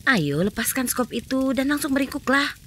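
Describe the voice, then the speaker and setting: A woman voicing a sly, scheming female fox who poses as a cute bunny, speaking in a sweet, coaxing sing-song in an animated cartoon dub. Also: sits high, normal speed. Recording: noisy cartoon soundtrack.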